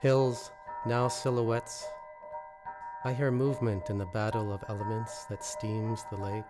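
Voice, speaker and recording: A man reciting his own poetry in a measured, deliberate way, pausing between phrases. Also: on the low side. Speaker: poet reading own work. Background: musical score.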